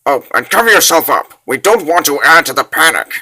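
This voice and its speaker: A man voicing a cocky, grumbling old wizard in a comic dub, gruff and sarcastic. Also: fairly high pitch, big pitch swings, a little fast, sharp tone, heavily acted. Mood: wry, bossy.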